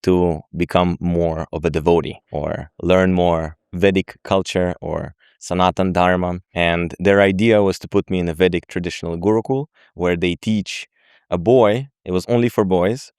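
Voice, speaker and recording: Man in his twenties, from Israel, calm steady explaining, dry close recording. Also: low-pitched, normal speed, smooth, no echo. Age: 20s. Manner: calm, matter-of-fact explaining. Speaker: podcast guest. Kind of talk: podcast interview.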